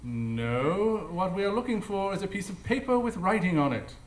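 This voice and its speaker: A man plays a commanding gentleman detective in a radio play, with a fairly high, animated voice. He is clipped, formal and coolly logical, in a roomy space. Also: moderate pace. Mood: brisk, dry. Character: brisk, imperious, coolly logical male detective. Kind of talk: live radio drama acting. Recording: roomy live stage.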